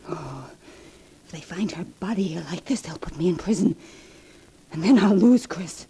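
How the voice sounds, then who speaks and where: An actress playing a desperate, scheming jealous killer in 1940s radio melodrama, stammering and whispering. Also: female voice, fairly low pitch, animated, moderate pace, dark tone. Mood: panicked. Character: desperate, scheming jealous woman who has just killed. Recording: noisy old 1940s radio recording.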